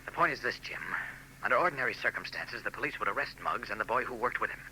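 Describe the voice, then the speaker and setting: An actor playing a confident newspaper reporter hero in a 1940s radio play, calm and assured, on an old broadcast with faint noise. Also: male voice, medium pitch, fast, rough recording.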